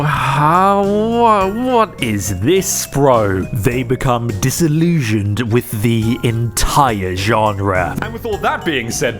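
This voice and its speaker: A man giving casual, sweary video-essay commentary in an emphatic, conversational, exasperated way. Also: medium pitch.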